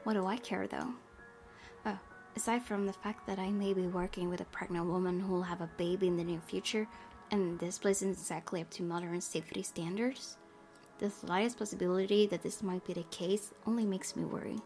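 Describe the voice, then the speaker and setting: A woman's voice: medium pitch, steady tone, brisk, darkish tone. A woman hosting a gaming video, reading visual novel narration aloud and adding her own asides. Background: guitar game music.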